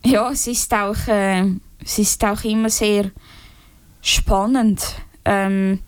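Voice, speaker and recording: A young woman speaking in a group, slow and halting with many fillers and restarts. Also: medium pitch, fairly even intonation, clean tone, no room sound. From Switzerland. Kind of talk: unscripted personal talk.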